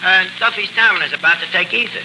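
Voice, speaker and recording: An actor playing a slangy, wheedling, self-assured tavern manager in a 1940s radio comedy, with colloquial, hesitant talk. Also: male voice, high voice, quick pace, lightly acted. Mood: casual, persuading. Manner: colloquial, hesitant, mangles sayings. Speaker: comedy show star. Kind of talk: 1940s radio comedy acting before an audience. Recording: noisy 1940s radio broadcast recording.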